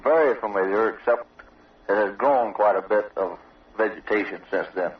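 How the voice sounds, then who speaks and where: A man's voice: lowish voice, moderate pace. Formal soldier, male, on distant muffled recording. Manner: formal, matter-of-fact military phrasing. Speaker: army sergeant, interviewee. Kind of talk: answering a news interview. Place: outdoor observation post. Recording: dull, distant old radio field recording.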